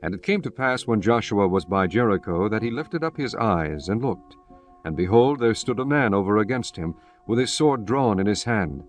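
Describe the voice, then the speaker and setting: A man narrating scripture in measured, steady King James English. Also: fairly low pitch. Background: guitar music.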